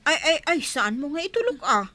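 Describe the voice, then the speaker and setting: A woman's voice: high voice, sing-song, brisk, sharp tone, full character voice. A woman with a Filipino accent acting a blunt, teasing, bossy sister-in-law in a radio drama.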